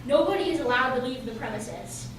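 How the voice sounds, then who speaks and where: A woman's voice: sits high, a little fast, lightly acted. A woman playing a firm detective in a radio play, recorded in a very echoey room. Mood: businesslike. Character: firm detective questioning former classmates at a crime scene. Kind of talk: amateur radio play acting. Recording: very echoey room, distant mic, faint noise.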